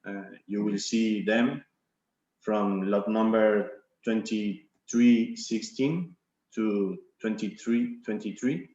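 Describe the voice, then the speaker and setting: Argentinian man in his thirties, slow halting speaker, lowish voice, echoey lo-fi video call audio. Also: darkish tone. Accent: Argentinian Spanish. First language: Spanish. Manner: halting, many fillers and restarts. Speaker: winemaker. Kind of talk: hesitant video-call presentation.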